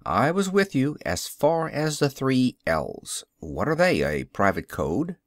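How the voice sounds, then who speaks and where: A man's voice: mid-range voice, big pitch swings, takes it slowly, dry recording, clean studio sound. A man voicing a curious, skeptical adult man who keeps probing with questions in an audiobook. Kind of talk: audiobook character reading.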